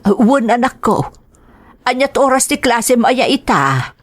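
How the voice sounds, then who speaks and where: A woman acting as a hardworking, loving mother in an Ilocano radio drama, gentle and maternal, with an Ilocano accent. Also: fairly high pitch, big pitch swings, measured pace. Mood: gentle, busy.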